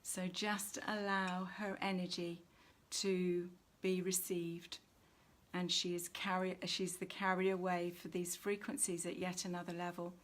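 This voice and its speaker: Slow, low-pitched woman giving a spiritual reading. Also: level tone. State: low in energy. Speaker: spiritual reader and host. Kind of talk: spiritual oracle reading, unscripted monologue.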